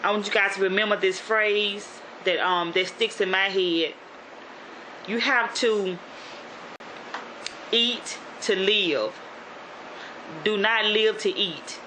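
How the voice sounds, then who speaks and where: A woman's voice: medium pitch, slow, long pauses, background noise. A woman from Shreveport in North Louisiana with a Southern accent, vlogging in a casual motivational style, rambling with lots of "you know" and restarts. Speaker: YouTube vlogger.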